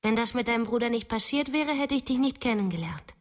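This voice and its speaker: Actress as intense young wife, higher-pitched voice. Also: female voice, animated, fast, natural voice. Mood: earnest. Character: intense, stubborn young wife longing for motherhood. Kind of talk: radio drama acting.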